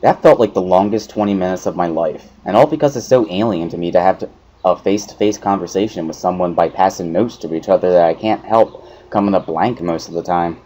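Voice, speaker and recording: A man narrating a first-person visual novel story as an expressive dramatic reading. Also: low voice, fairly quick.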